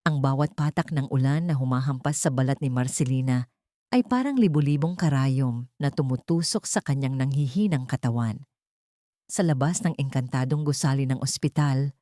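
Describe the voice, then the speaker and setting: A woman's voice: very deep voice, dark tone, dry recording, studio quality. A woman narrating a scripted audio drama story in a solemn, melodramatic manner.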